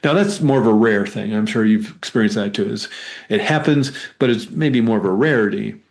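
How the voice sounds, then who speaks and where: A man with a fairly low voice speaks as a guest in a conversational interview. He explains and tells stories in a rambling manner, often halting and repeating words as he goes. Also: gravelly, a little mellow. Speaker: guest, hypnotherapist.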